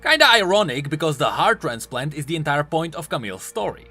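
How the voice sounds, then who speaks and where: Male lore video host: scripted, emphatic commentary, sharp tone, hugely lively pitch, music bed. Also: brisk. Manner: emphatic, swooping delivery. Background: background music.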